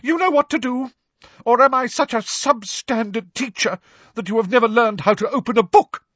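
A man voicing a theatrical older woman teacher in an audiobook, high-pitched and wavering, melodramatic and wounded. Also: moderate pace, fairly bright tone, no room sound, lo-fi sound. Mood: hysterical, angry. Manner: melodramatic, self-pitying, grandly wounded. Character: hysterical, theatrical older female divination teacher.

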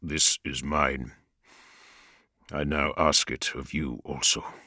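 A man voicing a solemn, commanding demigod lord who speaks in a grave and measured way. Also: rumbling low, fairly even intonation, sharp tone, no room sound, clean studio sound, pitched below the performer's own voice, full character voice.

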